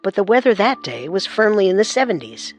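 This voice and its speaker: A man narrating a true-crime radio story in an earnest, assertive way over soft music. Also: sits high, big pitch swings, brisk. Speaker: narrator and co-host.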